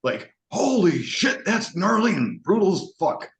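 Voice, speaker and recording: A man's voice: high-pitched, big pitch swings, unhurried. A man hosting an underground metal interview show, talking loosely and with lots of swearing in a casual chat. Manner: loose, profane, enthusiastic. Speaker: podcast host. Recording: roomy, dull lo-fi audio.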